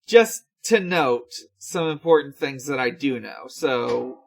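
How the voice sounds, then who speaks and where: A podcast co-host reading out news in a casual chat, with a halting, hesitant delivery. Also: mid-range voice, lively intonation, creaky.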